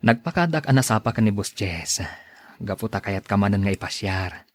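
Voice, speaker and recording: An actor playing an evasive, defensive husband, a man with an Ilocano accent in a radio drama. His medium-pitched voice swings widely up and down, with a rough, husky texture in a dry recording. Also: expressive, a little fast, dry recording. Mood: coaxing. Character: evasive cheating husband, defensive, then pleading.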